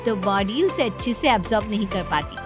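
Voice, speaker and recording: A woman's voice: mid-range voice, lively intonation, brisk, over music, natural voice. A woman playing a confident young know-it-all daughter-in-law in a cartoon story, boastful and breezy. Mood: self-assured. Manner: boastful, breezy, self-assured. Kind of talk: Hindi cartoon moral-story acting.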